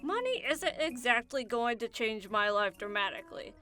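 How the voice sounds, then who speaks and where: A woman plays a huge, jaded swamp zombie with a wry, complaining way of talking. The voice is high and swings around a lot, in a comic radio play. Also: very animated, moderate pace, pushed and bright, faint music behind. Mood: sardonic. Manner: sardonic, chatty. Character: jaded nine-foot swamp zombie man, wryly complaining.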